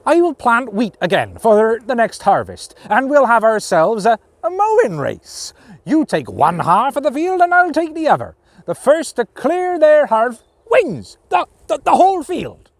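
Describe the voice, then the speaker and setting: A man playing a quick-witted, polite but nervous farmer in a storybook tale, courteous and theatrical. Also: very high-pitched, wildly swooping pitch, no echo, voice pushed up, exaggerated voice. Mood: crafty persuasion. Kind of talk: storybook character voice.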